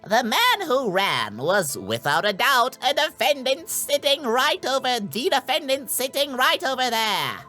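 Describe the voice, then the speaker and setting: A man's voice: very high-pitched, sing-song, a little slow, hardly any pauses, bright, forceful tone, soft background music, pitched above the performer's own voice, heavily acted. A man voicing a jittery salesman on the witness stand in a video game, overdramatic and emphatic. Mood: dramatic. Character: jittery, high-voiced male salesman testifying as witness. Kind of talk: dramatic video game dubbing.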